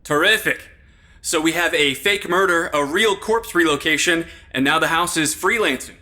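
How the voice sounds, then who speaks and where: A man's voice: on the high side, moderate pace, pushed and bright. A man playing a sarcastic, exasperated sidekick in a comedy audio drama. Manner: wisecracking, sarcastic.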